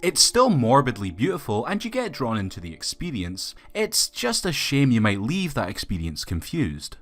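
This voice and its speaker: Male video reviewer, scripted but colloquial film commentary, animated intonation in a mid-to-low register. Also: normal speed.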